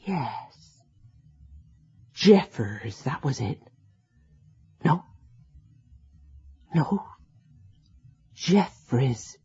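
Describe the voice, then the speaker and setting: An old country servant, deferential and gossipy, with an extremely husky, breathy voice. The words come very slowly and haltingly. It is a radio drama with a dull sound and music faintly behind. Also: mid-range voice, exaggerated voice. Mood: recollecting. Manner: hesitant, repeating, hushed storytelling. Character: elderly rustic servant, deferential, gossipy, slow to recall.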